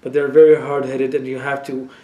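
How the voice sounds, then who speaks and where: A man's voice: mid-range voice, flat delivery, brisk, mellow. A young Palestinian man answering interview questions in frank casual talk, with many fillers and an occasional curse. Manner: frank, swears when riled, many fillers. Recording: echoey room, faint ambient noise.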